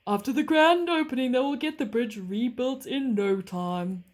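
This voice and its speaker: A woman voicing a brisk, practical toy train driver in a children's storybook, speaking emphatically and urgently. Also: high voice, darkish tone, voice pushed up, lightly acted. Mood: reassuring.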